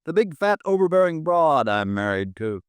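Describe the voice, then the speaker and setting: An easygoing, joking office manager. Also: male voice, on the high side, big pitch swings, moderate pace, smooth, no echo. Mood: breezy. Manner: breezy and joking. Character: easygoing joking male branch insurance manager. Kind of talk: 1950s radio drama acting.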